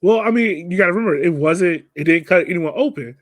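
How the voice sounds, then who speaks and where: A man's voice: sits high, fast, no echo. A man hosting a casual sports podcast, talking through a game in conversational analysis. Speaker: podcast host moderating a soccer discussion.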